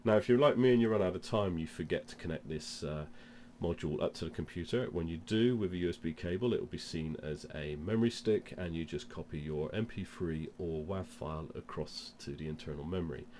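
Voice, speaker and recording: A man's voice: low voice, a little fast, hardly any pauses, a little mellow, slight background noise. A man with a cold presents an informal product demonstration, explaining things step by step. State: has a cold. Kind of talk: informal instructional demo.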